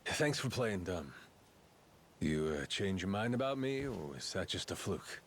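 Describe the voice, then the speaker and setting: A man's voice: low voice, sing-song, a little slow, slight background noise, exaggerated voice. An actor playing a brash but easygoing tough man in a video game cutscene. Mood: wry. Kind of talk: English video game dub acting.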